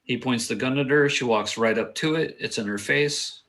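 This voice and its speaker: A man's voice: mid-range voice, moderate pace, a bit bright. A man reading screenplay stage directions aloud at a table read, in short, clipped phrases.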